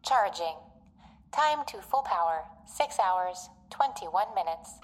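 A woman's voice: fairly low pitch, expressive, slow, soft background music. A woman voicing an impassive implant computer in an audio drama, giving clipped, impersonal alerts.

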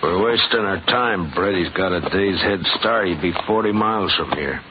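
An actor playing a weary, stubborn middle-aged frontier sheriff with a terse, rustic American way of talking, in a 1950s radio western. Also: male voice, low voice, moderate pace, lightly acted. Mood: reluctant. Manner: rustic, terse, heavy-hearted. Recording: muffled, noisy 1950s radio recording.

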